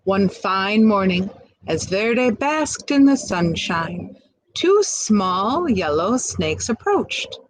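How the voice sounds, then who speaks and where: Woman reading aloud with lively, swinging pitch. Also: no room sound, low-quality recording. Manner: expressive, pausing to comment and ask questions. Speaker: storybook reader. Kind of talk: picture-book read-aloud with asides to young listeners.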